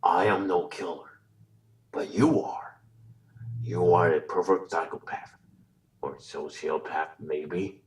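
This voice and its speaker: A man playing a menacing puppet mastermind in a horror audio drama, slow, deliberate, formal and coldly moralizing. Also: low-pitched, steady tone. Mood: calm taunting. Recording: distant voice under loud music.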